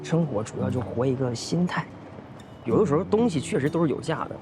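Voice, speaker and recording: A man's voice: mid-range voice, animated, a little fast, over music, natural voice. A man playing an optimistic, coaxing young husband in a screen drama, colloquial. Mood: upbeat reassurance. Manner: coaxing, repetitive pleading, colloquial. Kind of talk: naturalistic screen drama acting.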